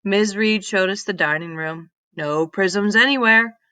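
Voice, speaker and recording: A woman reads aloud for young children in a bright, forward voice. She is expressive and instructive, as if guiding her listeners through each page, and the recording is close and dry. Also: mid-range voice, measured pace, no echo. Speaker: storyteller reading aloud to children. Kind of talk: children's story read-aloud.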